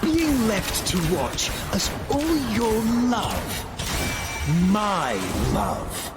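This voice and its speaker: Over loud music, a megalomaniac game villain, cold and full of grievance. His delivery is pompous and very slow, and his pitch swings widely as he dwells on each bitter phrase. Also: huge pitch swings, exaggerated voice. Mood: bitter, wounded. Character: cold, aggrieved, megalomaniac villain lord with godlike ambition. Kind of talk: theatrical video game cutscene acting.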